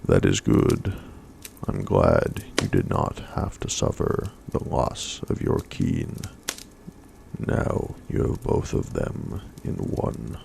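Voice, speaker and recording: A man voicing a protective, caring male dragon in a soft-spoken story reading. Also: very deep voice, wildly swooping pitch, slow, gravelly, slight background noise, full character voice.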